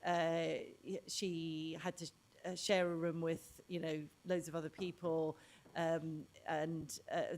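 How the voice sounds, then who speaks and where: A woman panelist answering in a measured, thoughtful way. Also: low-pitched, a little mellow.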